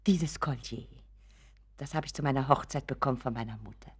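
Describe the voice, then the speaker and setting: A woman's voice: a bass voice, a little fast, breathy edge, exaggerated voice. An actress playing an anxious, doting middle-aged mother in a radio drama, pleading and hesitant with her grown son. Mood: anxious, coaxing. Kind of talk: German radio drama acting.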